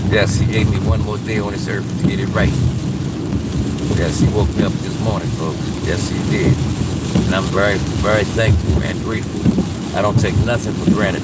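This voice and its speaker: A man chatting casually with his viewers in a folksy, preachy way, a little congested from a head cold, talking from a car in the rain. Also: fairly low pitch. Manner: folksy, preachy, calls listeners "folks" often. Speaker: vlogger chatting with live viewers. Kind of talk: casual live-stream chat. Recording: distant mic, loud rain noise.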